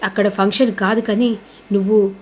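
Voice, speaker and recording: A woman's voice: mid-range voice, level tone, normal speed, a little mellow, a little hiss or hum, natural voice. A woman voicing a hot-headed young man in a serial recap, confrontational and full of repeated blame. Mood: accusing. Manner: blaming, repetitive, confrontational.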